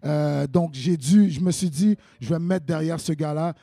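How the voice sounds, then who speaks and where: A man's voice: fairly high pitch, moderate pace, dark tone, no echo, clean studio sound. A man with a Quebec French accent hosting a web TV interview, hyping up his guests. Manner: enthusiastic, hypes up guests. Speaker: web TV host.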